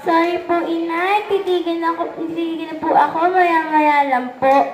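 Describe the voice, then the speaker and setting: A woman's voice: very high-pitched, fairly even intonation, moderate pace. A woman playing a polite but evasive eight-year-old daughter in a puppet show, placating and apologetic. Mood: apologetic, stalling. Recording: echoey room.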